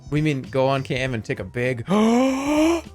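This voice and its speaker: A man's voice: medium pitch, wildly swooping pitch, a little slow, darkish tone, slightly rough, soft background music. A man in his thirties streaming a game, chatting casually in a rambling, sarcastic way and swearing freely.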